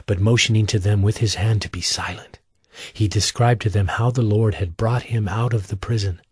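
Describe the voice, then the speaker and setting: A man giving a scripted, solemn and measured narration for an audio drama of scripture. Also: lowish voice, steady tone, husky, dry recording, studio quality.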